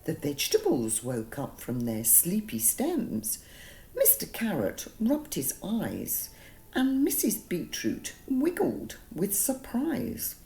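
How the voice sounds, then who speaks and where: Slow, mellow woman storyteller. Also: mid-range voice, wildly swooping pitch. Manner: soothing, deliberate, dramatic word emphasis. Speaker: children's storyteller. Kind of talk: gentle bedtime story narration.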